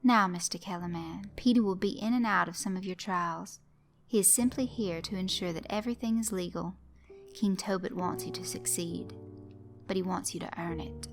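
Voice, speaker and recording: A woman's voice: lowish voice, normal speed, soft background music, lightly acted. A woman voicing a formal, ominously polite male master of games who speaks with precise courtesy.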